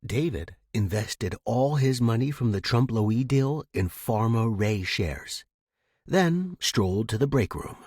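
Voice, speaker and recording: Male fiction narrator, husky and lively, dry close sound, dramatic storytelling. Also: mid-range voice, takes it slowly, no echo. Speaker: audiobook-style fiction narrator. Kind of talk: scripted short-story narration.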